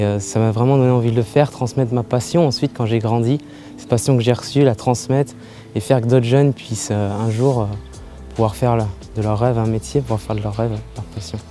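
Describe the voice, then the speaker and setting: A young man from French Guiana, a pilot speaking earnestly in an interview, with pauses and fillers. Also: medium pitch, warm tone. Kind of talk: interview testimony.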